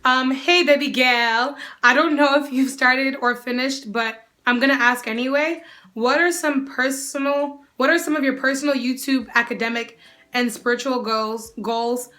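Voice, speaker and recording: A sassy young woman chatting straight to camera with a bright, ringing tone. She sits a little high in her range and keeps the banter lively as she answers viewer questions. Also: normal speed. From United States. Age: young adult. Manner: sassy, animated, often calls the viewer "girl". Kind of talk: casual Q&A vlog.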